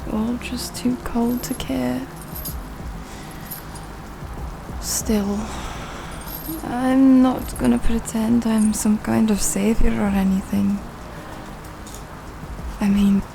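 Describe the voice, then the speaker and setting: A woman's voice: a little high, fairly even intonation, takes it slowly, vocal fry, pauses often, background noise. A woman playing a tired goth nightclub worker with a Scottish accent, sardonic but tender, speaking intimately to the listener in an audio roleplay. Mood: gentle, wry. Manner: sardonic, muttering, softly cooing, sighing. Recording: rain and city ambience behind voice. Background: rain on surfaces, thunder, city ambience.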